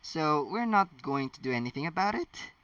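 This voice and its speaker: A man's voice: a little high, sing-song, normal speed, fairly bright tone, clear, resonant tone, no room sound, distant mic, dull audio. A man voicing a formal, grave older female professor in a storybook reading. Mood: incredulous.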